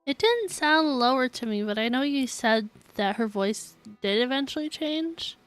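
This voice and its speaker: A woman's voice: high voice, lively intonation, normal speed, smooth, no room sound, faint music behind. A woman streaming a game, casually reacting in an informal, giggly way. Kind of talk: casual live reaction commentary.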